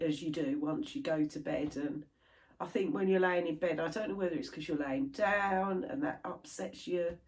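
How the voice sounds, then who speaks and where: British woman, fairly low voice, stuffy and croaky, rambling casual chat, middle-aged. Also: darkish tone. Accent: British English. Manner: chatty, rambling, laughs easily. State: stuffy and croaky from a chest infection. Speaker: cross stitch vlogger.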